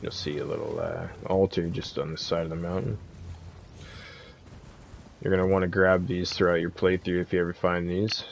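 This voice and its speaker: Low, gravelly man guiding gameplay. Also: moderate pace, long pauses, slight background noise. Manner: relaxed, informal. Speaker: game guide host. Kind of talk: casual gameplay walkthrough commentary.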